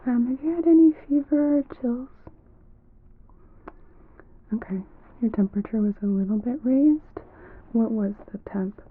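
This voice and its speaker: A woman giving calm, gentle clinical instructions as a doctor in an ASMR examination roleplay, often dropping into a soft whisper. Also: fairly high pitch, a little slow, pauses often, narrow, tinny audio, a little hiss or hum. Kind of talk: ASMR medical exam roleplay.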